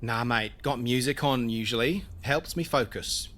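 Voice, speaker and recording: Bright-voiced, aloof laconic man played by an actor. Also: medium pitch, normal speed, natural voice. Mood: dismissive. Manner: laconic, blunt, says "mate". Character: aloof, private male production designer and carpenter, needling. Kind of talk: audio drama dialogue.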